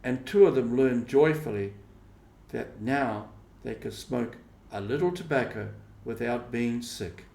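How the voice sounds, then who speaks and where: Slow-paced man narrating in an echoey room. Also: medium pitch, gravelly, a little mellow. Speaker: audiobook reader. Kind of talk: scripted storybook narration. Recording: roomy, echoing voice recording.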